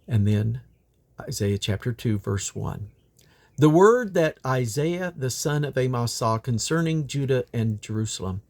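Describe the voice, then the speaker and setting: A man's voice: mid-range voice, animated, unhurried, darkish tone. A man reading Bible scripture aloud in old King James English, in a solemn, declamatory manner. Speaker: Bible reader.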